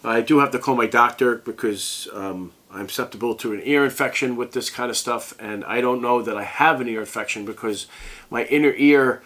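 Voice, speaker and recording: A sick, congested man rambling through a casual vlog as a tech review host, halting and restarting often. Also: medium pitch, a bit bright.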